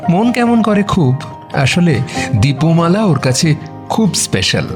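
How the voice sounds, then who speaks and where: A male narrator reads a scripted romantic story with dramatic phrasing. His medium-pitched voice moves expressively up and down over faint background music. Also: big pitch swings, slow pace.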